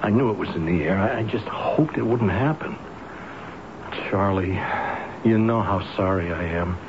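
An actor playing a kindly, folksy friend in a radio drama, hesitant and stammering, full of sympathy and concern. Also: male voice, low voice, normal speed. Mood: sympathetic. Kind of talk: 1970s radio drama acting. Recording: noisy muffled old radio broadcast recording.

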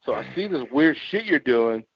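A man's voice: a little high, expressive, moderate pace, no room sound. A man in his forties from the United States, co-hosting a podcast and talking bluntly with plenty of swearing. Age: 40s. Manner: blunt, swears freely, opinionated. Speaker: podcast co-host. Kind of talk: casual chat. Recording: muffled lo-fi sound.

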